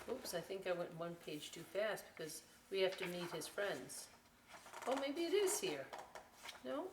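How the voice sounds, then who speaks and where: A woman's voice: fairly low pitch, very animated, unhurried, pauses often, noisy background. An American woman presenting a children's story time, reading a picture book aloud expressively and chatting through folksy, explanatory asides. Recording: roomy echo, distant microphone.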